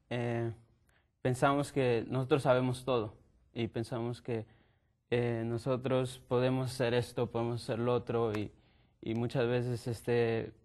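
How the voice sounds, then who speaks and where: A man's voice: mid-range voice, a little mellow. A young man from Mexico on a discussion panel, thinking aloud hesitantly and repeating words. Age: young adult.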